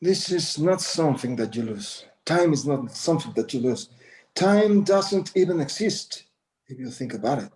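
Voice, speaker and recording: A man's voice: fairly high pitch, animated, moderate pace, a little mellow, natural voice. An actor playing a proud, stubborn elderly rancher father, philosophizing in terse phrases at a screenplay table read. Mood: earnest.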